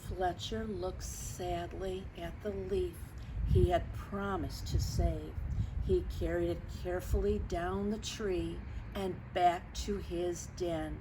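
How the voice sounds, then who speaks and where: A woman reading a picture book aloud to children, expressive and dramatic, stretching words and chatting to her young listeners. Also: lowish voice, slow pace. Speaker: storyteller for children. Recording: clearly noisy background.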